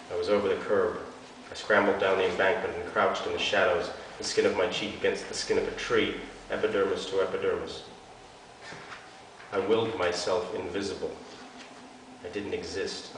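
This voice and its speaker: A man's voice: sits low, a bit bright. A man giving an intense, theatrical dramatic reading of a literary story as an actor. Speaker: actor reading an essay aloud. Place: arts centre hall before an audience. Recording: echoey hall from far off, hiss.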